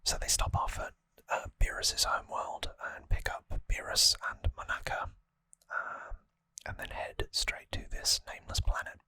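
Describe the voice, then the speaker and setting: An ASMR host speaking entirely in a breathy whisper close to the microphone, softly and informally explaining a story recap. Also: measured pace, few pauses, no room sound. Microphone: close microphone, dry sound.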